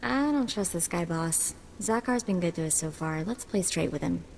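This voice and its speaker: Wary, low-pitched sidekick. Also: female voice, animated, normal speed, gravelly, a little hiss or hum. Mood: wary, pleading. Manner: informal, uneasy, loyal. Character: wary, plain-spoken female sidekick to bounty hunter. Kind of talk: scripted video game acting.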